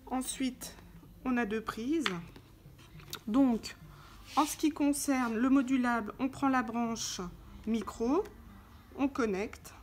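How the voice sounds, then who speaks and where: A woman's voice: on the high side, takes it slowly, long pauses, darkish tone. A woman giving an informal, hands-on product tutorial, explaining step by step as she handles the parts. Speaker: tutorial presenter for a motorcycle gear channel. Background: background music.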